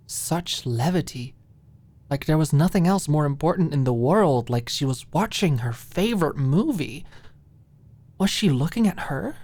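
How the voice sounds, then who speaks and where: A woman with an exceptionally low voice narrates a story at a slow pace. Her delivery is scripted and soft in ASMR style, often sinking into a hush as phrases end. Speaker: audiobook narrator.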